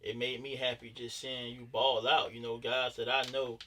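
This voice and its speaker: A man's voice: mid-range voice, flat delivery, normal speed, pushed and bright, distant mic. A man hosting a podcast, asking questions and affirming his guest in casual, encouraging talk. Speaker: podcast host.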